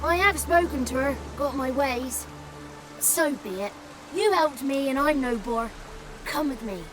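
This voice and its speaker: A woman's voice: high voice, measured pace, music in the background, low-quality recording. A woman voicing an impish little boy godling in a video game, mischievous and theatrical, relishing florid words. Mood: wry, agreeable.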